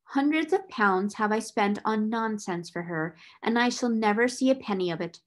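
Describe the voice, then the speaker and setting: A woman's voice: medium pitch, moderate pace, natural voice. A woman voicing a cold, furious, domineering older schoolmistress with a fierce, biting delivery in a storybook reading. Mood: harsh, commanding.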